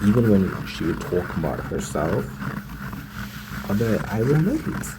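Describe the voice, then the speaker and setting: Loud rumbling noise surrounds a man with a very low, gravelly voice in a lo-fi ASMR roleplay. He chats like a naive, smitten boyfriend, hesitating and looping back over his words in loose slang. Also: big pitch swings, a little slow. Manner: hesitant, repetitive, slangy storytelling. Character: smitten, naive young man gushing about his new girlfriend. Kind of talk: ASMR roleplay monologue, casual chat.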